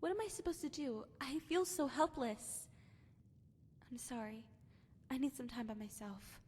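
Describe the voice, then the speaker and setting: An actress playing a troubled young woman in a game, speaking softly and earnestly about her past. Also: high-pitched, normal speed, slightly rough. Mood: hurt, withdrawn. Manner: wistful, soft-spoken, earnest. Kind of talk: game cutscene voice acting. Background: music.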